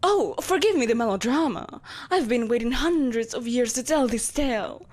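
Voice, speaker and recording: An ageless, ruthless empress of dark magic, performed by a woman for an audio drama. Her voice sits on the high side for a woman. She speaks in deliberate, theatrical phrases, proud and politely condescending, like a ruler addressing an inferior. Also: animated. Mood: grandiose, theatrical. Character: ancient, ageless evil sorceress empress, haughty, ruthless, possessive.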